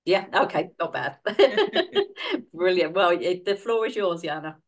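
Low-voiced woman hosting an online opening. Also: very animated, slow pace. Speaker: mentor and event host.

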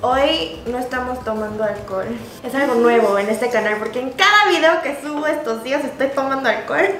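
A woman's voice: high voice, animated, fairly quick, a bit bright, reverberant, a little hiss or hum. A young woman hosting a casual chat, asking questions and laughing a lot. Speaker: host. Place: indoor studio set at a table.

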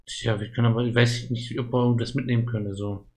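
A man's voice: mid-range voice, little pitch movement, fast. A man chatting casually while he plays a video game as a streamer. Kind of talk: casual let's-play chat.

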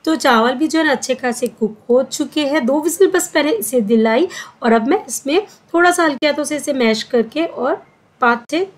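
A woman's voice: high-pitched, normal speed. A middle-aged woman talking through a home recipe step by step in a chatty, casual way, with frequent filler sounds. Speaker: cooking vlogger. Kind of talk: home cooking voice-over. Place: home kitchen.

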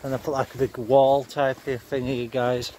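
A man's voice: medium pitch, level tone, moderate pace, darkish tone. A man with a Yorkshire accent chatting casually and informally to viewers as he films a walking vlog outdoors. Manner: informal, mildly sweary, chatty asides to viewers. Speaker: vlogger. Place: outdoors, walking along paths and fences. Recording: noisy outdoor recording with wind.

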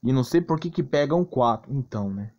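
A man's voice: medium pitch, animated, fairly quick, darkish tone, muffled recording. A Brazilian man giving a casual gameplay tutorial commentary in a blunt, exasperated way, often checking that the listener follows. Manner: blunt, exasperated, repeatedly tagging phrases with check-in questions. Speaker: gaming channel host. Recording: background noise, clicking sounds.

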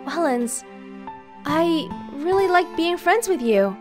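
Timid anime game schoolgirl, played by a woman, high, hushed, hesitant and self-blaming, music underneath. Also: lively intonation, slow pace, exaggerated voice. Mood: timid, tender. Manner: soft, hesitant, emotional. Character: shy, self-reproaching, gentle anime schoolgirl. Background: soft game music.

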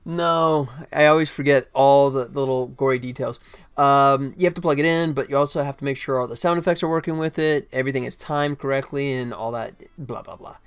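A man's voice: medium pitch, fairly quick, clean tone. A middle-aged man chatting casually and wryly on a livestream. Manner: easygoing, wry, self-deprecating. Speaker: game developer livestreamer. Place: indoor desk, livestream webcam setup.

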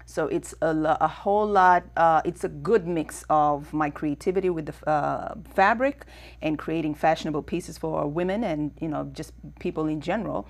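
Middle-aged Kenyan woman, Swahili native, studio interview guest; deliberate, filler-heavy delivery on a lapel mic. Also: low-pitched. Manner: measured, thoughtful, with many uh fillers. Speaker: guest, fashion designer. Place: TV studio set.

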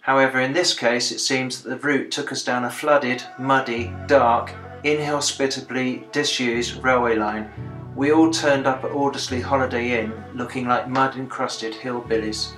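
A man's voice: medium pitch, fairly even intonation, moderate pace, a bit bright, echoey room, soft background music, low-quality recording. A British man in his sixties, a veteran narrating a video diary of a commemorative bike ride in a plain-spoken, dryly understated way. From Britain. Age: 60s. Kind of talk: reflective voice-over narration.

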